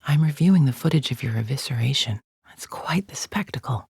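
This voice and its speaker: A woman's voice: a bass voice, animated, brisk, vocal fry, darkish tone, husky, dry recording, studio quality, exaggerated voice. An actress playing a haughty alien gladiator in a video game, formal, clipped and menacing as she guides the player with open contempt. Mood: cold, deadpan. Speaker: mission guide character.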